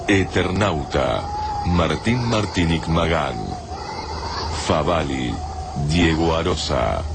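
A man's voice: very deep voice, creaky, noisy background. A man reading scripted credits as a radio drama announcer, with music behind him.

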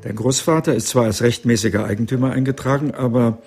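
A man's voice: mid-range voice, fast, darkish tone, faint music behind, natural voice. An older actor playing an upright, authoritative senior lawyer in his seventies in a radio drama. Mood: sober, insistent. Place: studio.

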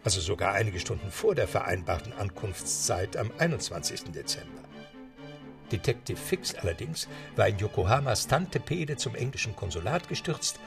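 A butler narrating the tale. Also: male voice, fairly low pitch, very animated, gravelly, music in the background. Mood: calm. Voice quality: measured storytelling. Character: dignified English male butler narrator. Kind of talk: scripted radio play narration.

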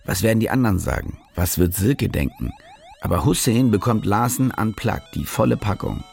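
Cynical reporter, wildly animated pitch, music behind. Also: male voice, normal speed, creaky. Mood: rambling, confiding. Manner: rambling, wry, hesitant with restless bursts. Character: cynical, self-justifying male German war reporter held captive. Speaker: lead actor and narrator in a radio drama. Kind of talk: naturalistic radio drama monologue and dialogue.

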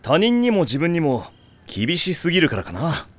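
A man playing a dependable, kindly but strict high-school team captain in an anime audio drama, frank with his juniors. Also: wildly swooping pitch, moderate pace. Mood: thoughtful. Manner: frank, senior talking to juniors.

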